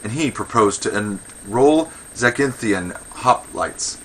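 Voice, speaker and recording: A man's voice: fairly low pitch, lively intonation, slow pace, fairly bright tone, heavily acted, poor audio. A man playing a pompous, indignant old Athenian magistrate in a verse comedy, declaiming with blustering contempt for women. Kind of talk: verse comedy read aloud.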